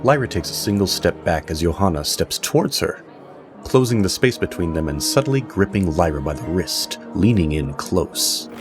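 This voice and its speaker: A man narrating a scripted fantasy story as its storyteller, in a measured, dramatic manner. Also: deep voice, animated, music in the background.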